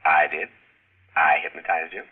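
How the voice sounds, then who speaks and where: A man's voice: extremely low pitch, fairly even intonation, normal speed, husky. A man playing a coolly logical computer in a vintage radio drama, speaking formally and precisely without emotion. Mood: cool, matter-of-fact. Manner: formal, precise, unemotional delivery. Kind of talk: 1950s radio drama acting. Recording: muffled vintage radio broadcast recording.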